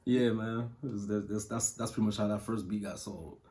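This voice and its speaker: A man's voice: on the low side, steady tone, brisk, warm tone. A man in his thirties from Ohio, a music producer answering interview questions in a loose, casual way with plenty of fillers and slang. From Toledo, Ohio. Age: 30s.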